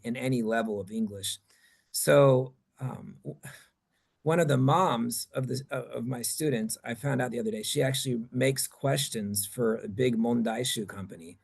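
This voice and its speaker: A man presenting at a conference, answering questions in a halting, think-aloud way. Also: mid-range voice, gravelly.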